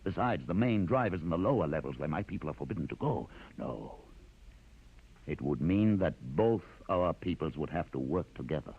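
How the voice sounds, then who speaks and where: Deep, solemn, commanding rebel leader. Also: male voice, expressive, husky, exaggerated voice. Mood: grave. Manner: theatrical, measured, portentous. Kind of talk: 1950s radio drama acting. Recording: dull, muffled vintage radio recording.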